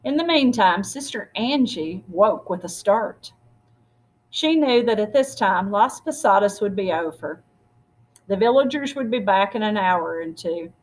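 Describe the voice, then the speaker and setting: A woman with a husky voice reading a storybook aloud expressively, as if to children. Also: medium pitch, measured pace, poor audio. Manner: expressive, acts out dialogue. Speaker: story reader.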